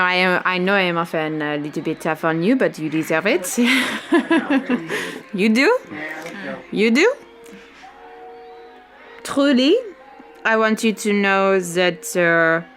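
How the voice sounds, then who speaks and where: An actress playing a tough but fond chief stagehand in a radio drama, with an earnest, halting, familiar manner. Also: female voice, on the low side, fairly bright tone. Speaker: actor in an audio drama. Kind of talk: naturalistic radio drama acting.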